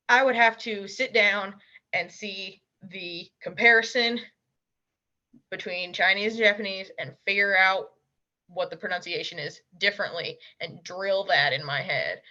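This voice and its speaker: A young woman being interviewed, talking casually and bluntly, with emphatic quick asides. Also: mid-range voice, pushed and bright, muffled recording. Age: young adult. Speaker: interview guest, language learner.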